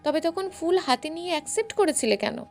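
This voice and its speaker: A woman's voice: very high voice, lively intonation, brisk, darkish tone, pitched above the performer's own voice. A woman voicing a proud, wounded businessman suitor in a story, with a pleading manner. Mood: hurt, pleading.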